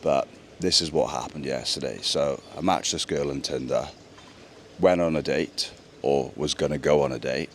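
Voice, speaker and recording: A young man with a deep voice, chatting earnestly to camera in a casual vlog. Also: moderate pace, a little hiss or hum. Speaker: vlogger. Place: indoors, then outdoors by a pool.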